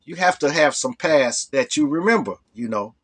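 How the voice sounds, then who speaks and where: A hoarse man with a cold telling a rambling personal story as the host of a storytelling channel. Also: medium pitch, animated, normal speed, fairly bright tone. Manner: rambling, full of "you know". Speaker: storytelling vlogger. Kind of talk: casual storytelling.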